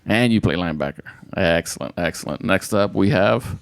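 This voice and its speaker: A man's voice: sits low, animated, brisk, gravelly, smooth. An American man, a youth football coach, hosting a casual podcast with upbeat, repetitive chatter. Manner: upbeat, repeats himself, says outstanding a lot.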